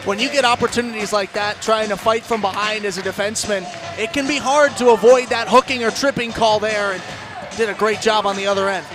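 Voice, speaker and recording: A man doing animated, projected play-by-play commentary on a live ice hockey broadcast. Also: high voice, brisk, music in the background. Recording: loud crowd and arena noise behind. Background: ice rink crowd.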